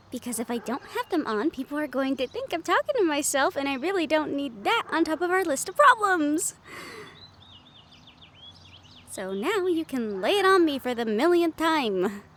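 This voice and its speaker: A woman's voice: high voice, a bit bright, slight background noise, full character voice. An actress playing an anxious, sweary young woman haunted by a ghost, exasperated and conversational in an audio roleplay. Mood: flustered, exasperated.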